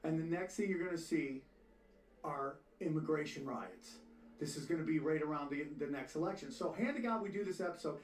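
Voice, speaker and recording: A man's voice: on the high side, a little fast. A man hosting a podcast, casually retelling a story in a conversational way. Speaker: podcast host. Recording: echoey, distant playback of a podcast clip.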